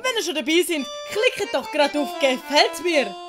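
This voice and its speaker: A woman's voice: high voice, very animated, moderate pace, over music. A woman playing a naive, theatrical young male servant in a comedic audio play. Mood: cheerful.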